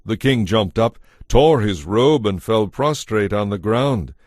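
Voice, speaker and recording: A man's voice: fairly low pitch, lively intonation, unhurried, a little mellow, no room sound, clean studio sound. A man giving a solemn, scripted dramatic narration of scripture as storyteller.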